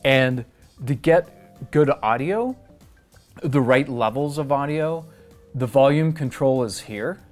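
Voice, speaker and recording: A man's voice: medium pitch, slow, pauses often, a little mellow, faint music behind. A middle-aged man hosting a how-to demonstration, explaining each step in a plain, methodical way. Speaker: tutorial host.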